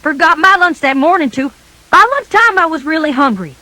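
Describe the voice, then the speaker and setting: A woman reading a scripted children's story in a folksy, animated storybook manner. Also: high-pitched, brisk, no room sound.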